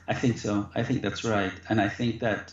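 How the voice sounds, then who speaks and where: A man, a guest professor, speaking in a measured, deliberate, earnest way over a remote call. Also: lowish voice, level tone. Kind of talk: interview conversation. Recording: echoey, dull lo-fi remote call audio.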